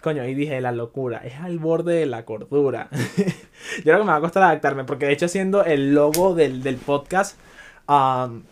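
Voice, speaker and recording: A man's voice: a little high, normal speed. A young man from Venezuela with a Venezuelan accent, hosting a casual solo podcast in a loose, chatty way that drops English words in. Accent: Venezuelan Spanish. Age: young adult. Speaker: podcast host.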